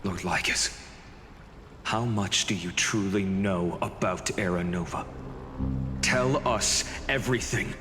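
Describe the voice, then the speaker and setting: An actor playing a grave, resolute young hero in a video game, earnest and commanding. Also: male voice, low voice, slow. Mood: suspicious, stern. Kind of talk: dramatic video game voice acting. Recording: long hall reverb, loud music underneath.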